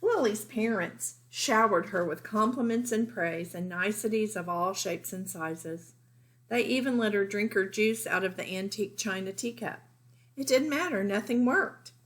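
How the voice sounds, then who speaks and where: A woman's voice: mid-range voice, moderate pace. A woman teacher reading a children's storybook aloud expressively, explaining things simply for young listeners.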